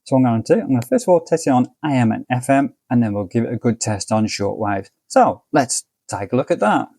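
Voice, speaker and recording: A man with an English accent talks through a hands-on review in an easygoing, colloquial way. His mid-pitched voice has a gravelly creak. The recording is dry, with very little room sound. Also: expressive, quick pace, dark tone, no echo. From United Kingdom. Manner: colloquial, easygoing step-by-step commentary. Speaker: gadget reviewer. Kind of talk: casual hands-on product review.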